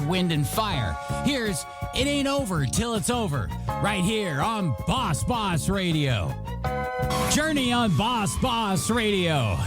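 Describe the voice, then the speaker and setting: A man hosting a radio show as a DJ, with showy, playful announcer patter and music playing behind him. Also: sits high. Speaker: radio DJ host. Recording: music bed under the voice.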